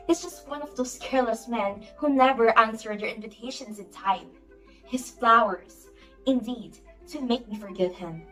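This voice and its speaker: A woman playing a fussy, excitable society hostess and mother in an amateur stage play, brisk and commanding. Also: on the high side, low-quality recording. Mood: flustered, indignant. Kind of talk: amateur one-act play acting. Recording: music behind the voice.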